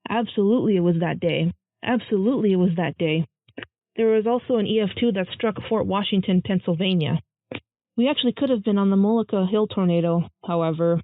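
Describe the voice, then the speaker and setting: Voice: medium pitch, brisk, dry recording, lightly acted. A performer playing an earnest storm chaser giving scripted interview answers. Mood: earnest, sober. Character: earnest young storm chaser named Juniper, recounting past chases. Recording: muffled narrowband phone-like audio.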